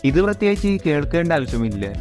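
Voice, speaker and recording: High-pitched male video narrator. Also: very animated, fast, darkish tone, faint music behind. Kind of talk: scripted narration.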